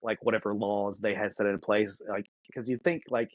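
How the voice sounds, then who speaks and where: A man co-hosting a podcast, chatting casually and thinking out loud in a conversational way. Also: lowish voice, steady tone, moderate pace, dry recording, highs cut off. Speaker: podcast co-host. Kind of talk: casual discussion.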